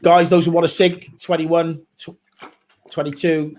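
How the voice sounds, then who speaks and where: A Welsh man co-hosting a football watchalong, calling the play in passing and ribbing his co-host. His voice sits somewhat high for a man. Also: little pitch movement, darkish tone. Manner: teasing, quick banter. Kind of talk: casual live match commentary and chat.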